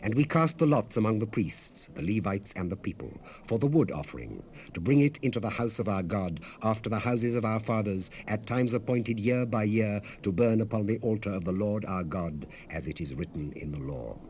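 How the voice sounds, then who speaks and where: A man narrating scripture in a solemn, measured, deliberate scripted reading, heard on an unprocessed 1970s cassette rip. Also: on the low side, expressive, darkish tone, slight background noise.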